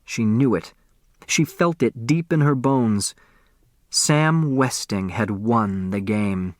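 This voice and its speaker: A man's voice: medium pitch, slow pace, no echo, studio quality. A man narrating a novel as an audiobook reader, with dramatic scripted delivery.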